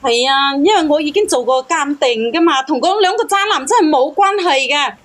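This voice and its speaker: A woman's voice: high-pitched, lively intonation, brisk, fairly bright tone. A woman calling in to tell her own story, pleading and defensive as she asks for help. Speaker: caller seeking relationship help. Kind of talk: unscripted personal account.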